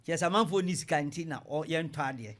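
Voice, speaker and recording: A man's voice: fairly high pitch, quick pace, a bit bright. A man with a Ghanaian accent explaining things step by step, in an emphatic lecturer's manner, as an interview guest on radio. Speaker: economics lecturer, studio guest.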